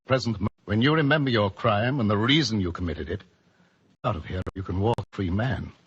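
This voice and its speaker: An actor playing a stern, cold psychiatrist professor in 1940s radio drama style. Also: male voice, fairly low pitch, a little fast, fairly bright tone, breathy edge. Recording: dull old radio recording.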